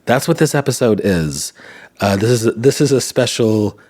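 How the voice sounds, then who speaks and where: A man hosting a podcast in casual solo chat, rambling and wry, his voice tired and slightly hoarse. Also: fairly low pitch, animated, normal speed, a little mellow. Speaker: podcast host. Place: at home, indoors.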